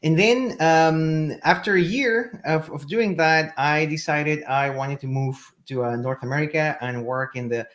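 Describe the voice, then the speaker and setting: A man in his thirties from Chile with a Chilean accent, a guest telling his career story in a halting way, often checking in with "right?". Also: on the high side, fairly bright tone. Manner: halting, many restarts and "right?". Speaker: podcast guest, company CEO. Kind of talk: casual interview.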